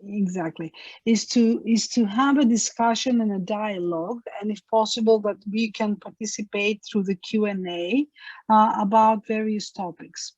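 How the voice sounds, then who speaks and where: A woman moderating an online panel over a video call, halting and searching for words as she speaks. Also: mid-range voice, steady tone, a little mellow, no room sound, dull audio.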